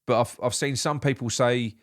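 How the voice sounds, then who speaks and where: A man's voice: medium pitch, fairly even intonation, normal speed. A man, nasal from a blocked nose, hosting a solo live football morning show in a rambling, opinionated way. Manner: opinionated, rambling with frequent restarts. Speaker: football podcast host.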